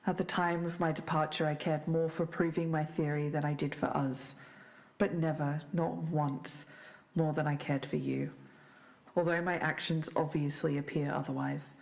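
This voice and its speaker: A woman playing an earnest, faintly bitter podcast investigator, speaking in a measured, solemn way as if reading evidence aloud. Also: sits low, vocal fry, a little mellow. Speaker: podcast co-host. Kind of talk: scripted fiction-podcast narration.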